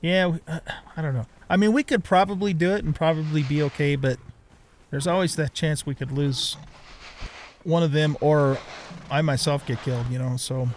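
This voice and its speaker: Mature man, slightly high voice, casual gameplay commentary, rambling, thinking aloud, noticeable background noise. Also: steady tone, normal speed. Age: middle-aged or older. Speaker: let's play streamer.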